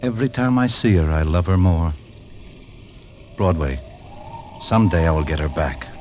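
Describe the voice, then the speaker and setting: An actor playing a cool, lovesick small-time crook with stilted, formal street talk, in 1940s radio style. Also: male voice, low voice, normal speed, noisy background, close to an everyday voice. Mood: wistful. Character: smooth-talking, lovesick small-time crook, coolly unflappable.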